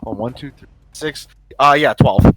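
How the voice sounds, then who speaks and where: A man's voice: medium pitch, moderate pace, bright, forceful tone, rough recording. A man playing a tabletop game, chatting casually and bluntly with some swearing. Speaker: player. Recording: music behind the voice.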